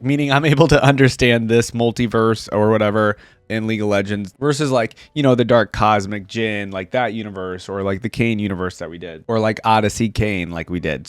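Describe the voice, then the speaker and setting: A man's voice: on the low side, a little fast, clean tone, dry recording, clean studio sound. A young man giving informal, rambling reaction commentary as a video host in a conversational way.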